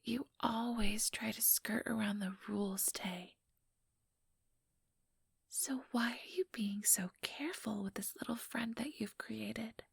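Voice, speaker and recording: A woman playing an ambitious vampire elder in a whispered ASMR roleplay, breathy, deliberate and patronizing, with cool menace. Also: fairly high pitch, dry recording, studio quality, full character voice. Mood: taunting, probing.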